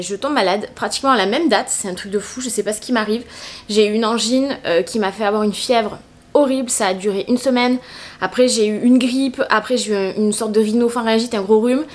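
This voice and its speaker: Chatty woman vlogger with a cold-stuffed voice, quick delivery, rambling with hesitations. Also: fairly high pitch. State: congested with a cold. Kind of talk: casual shopping haul chat.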